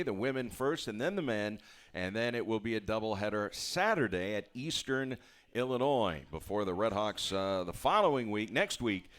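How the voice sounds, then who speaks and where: A man hosting a coaches' show, chatting and firing quick follow-up questions at a player. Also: mid-range voice, a bit bright. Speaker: radio show host.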